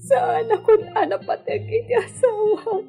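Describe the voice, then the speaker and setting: A woman's voice: extremely high pitch, steady tone, a little slow, gravelly, dark tone, heavily acted. An actress with an Ilocano accent playing a weary, insecure expectant young lady of a hacienda in a radio drama, plaintive and fragile. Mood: hurt. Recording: music bed under the voice.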